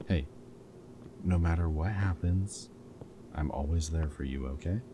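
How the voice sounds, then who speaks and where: A man's voice: extremely low pitch, unhurried. A man playing a goofy, flirty, protective rebel captive in a dystopian roleplay audio drama, with a wry, intimate way of talking. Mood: tender, worried. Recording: background music, loud ambient noise in places.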